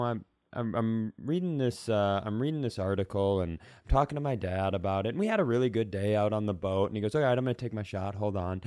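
A man's voice: on the low side, a little mellow, no room sound, studio quality. An American man co-hosting a comedy podcast, chatting and telling stories in a casually profane, wry way. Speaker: podcast co-host. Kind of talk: casual comedic chat and storytelling.

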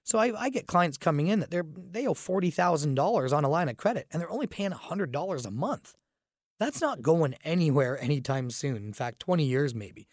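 A man with a raspy voice from a cold hosts a casual radio talk show, chatting with fillers and restarts. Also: on the high side, animated, fairly quick, no room sound, studio quality. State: fighting a cold, groggy. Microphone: studio microphone.

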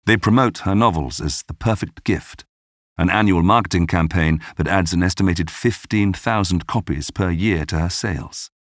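A man narrating a scripted documentary podcast in a measured, polished storytelling manner. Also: low voice, dry recording, clean studio sound.

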